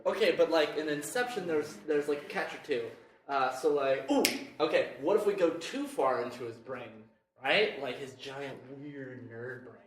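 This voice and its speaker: A man's voice: fairly high pitch, lively intonation, normal speed, close to an everyday voice. A man playing a curious, slightly creepy, rambling nerd in a live scripted comedy sketch. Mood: curious, awkward. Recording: echoey room, distant mic, audience noise.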